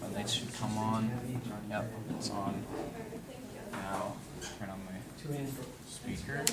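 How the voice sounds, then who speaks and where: A man with a fairly low voice talks through a hands-on equipment demonstration. He is informal and halting, often thinking aloud as he works. The recording is very noisy. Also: flat delivery. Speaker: instructor demonstrating audio equipment. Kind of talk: casual hands-on tutorial. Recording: clearly noisy room recording with handling sounds.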